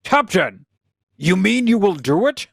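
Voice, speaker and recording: A man's voice: high-pitched, big pitch swings, gravelly, a bit bright, no echo, clean studio sound, heavily acted. A man playing an earnest, anguished idealist in an impassioned, theatrical radio play reading. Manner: impassioned, dramatic, drawn-out delivery. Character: earnest, anguished male idealist pleading for peace.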